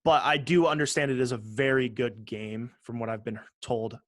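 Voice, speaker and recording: A man co-hosting a gaming podcast in casual chat, joking and sarcastic, swearing freely and often halting to rephrase. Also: medium pitch, steady tone, dry recording, studio quality. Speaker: podcast co-host.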